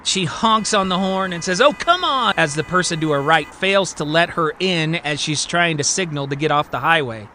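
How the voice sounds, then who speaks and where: A man's voice: fairly high pitch, moderate pace, hardly any pauses, pushed and bright, a little hiss or hum. A man telling a scripted story as a podcast storyteller, with a theatrical, emphatic and suspenseful delivery. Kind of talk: dramatic scripted story narration.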